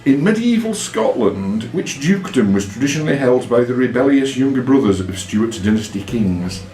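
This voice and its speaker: Quizmaster in an echoey room. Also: male voice, medium pitch, expressive, normal speed, warm tone. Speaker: quiz master reading questions and judging answers. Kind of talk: live quiz question reading with informal judging. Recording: roomy echo, faint music behind.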